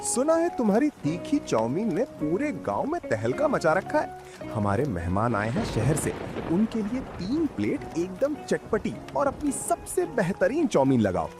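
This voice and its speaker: Man voicing forceful village bigwig, very animated. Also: high-pitched, a little slow, music in the background, full character voice. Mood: hearty, approving. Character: hearty village landowner and jealous snack-seller rival, male.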